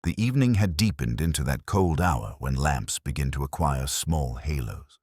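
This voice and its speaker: A middle-aged man reading a first-person detective story in a measured, brooding, literary manner. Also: rumbling low, expressive, darkish tone, husky, no echo, clean studio sound. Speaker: narrator.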